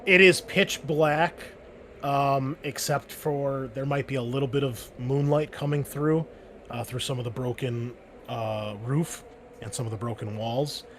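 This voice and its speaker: A man's voice: medium pitch, steady tone, measured pace, a bit bright, a little hiss or hum. A man serving as game master, talking loosely with lots of filler words. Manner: loose and chatty, full of "like" and "um" fillers. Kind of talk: running a tabletop game over a call.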